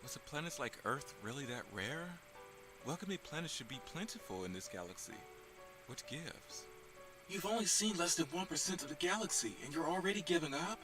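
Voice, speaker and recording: Guy playing a curious space explorer in a cartoon, highish voice, music going under it. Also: lively intonation, fairly quick, close to an everyday voice. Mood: wondering. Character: curious space explorer character in an animated story. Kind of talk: scripted animation dialogue.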